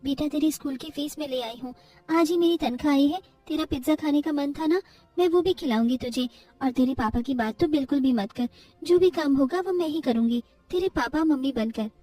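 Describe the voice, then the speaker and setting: Casting: woman as long-suffering young cartoon wife, high-pitched, earnest and pleading, faint music behind. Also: fairly quick, mellow, distant mic, lightly acted. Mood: protective, pleading. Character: meek, suffering young wife and devoted mother.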